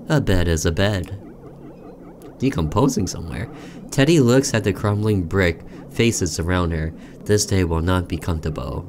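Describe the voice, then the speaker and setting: A man's voice: low-pitched, slight background noise. A man hosting a gaming video, reading story text aloud like a storyteller and adding casual reactions.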